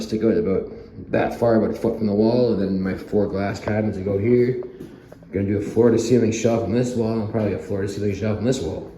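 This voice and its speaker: A hoarse man with a low, mellow voice chats in a loose, rambling way as he tours his half-finished home basement. The bare room gives his voice a roomy echo, with a faint hum of ambience underneath. He chuckles easily and talks straight to his viewers. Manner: relaxed, rambling, chuckles often. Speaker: vlogger. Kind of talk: casual walkthrough chat.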